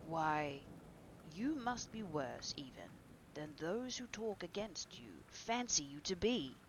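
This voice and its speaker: A woman's voice: fairly low pitch, sing-song, slow, slight background noise, poor audio. A woman voicing a horrified, anguished male painter in a dramatic audiobook, exclaiming and pleading with emphasis. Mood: horrified, agitated. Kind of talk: dramatic audiobook character reading.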